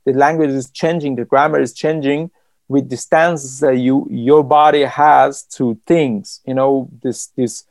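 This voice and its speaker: A man from Iran whose first language is Persian speaks as a writer in an interview. His voice is gravelly and sits at a middle pitch, recorded dry and close. He talks thoughtfully and haltingly, with frequent restarts. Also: steady tone, a little mellow, no echo.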